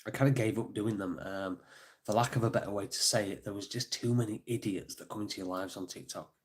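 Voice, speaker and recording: A man's voice: lowish voice, fairly quick. A middle-aged man hosting a casual live stream, a bit bunged up and sniffly, thanking viewers by name with plenty of ums. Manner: chatty, thanks viewers by name, many fillers. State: congested, sniffly.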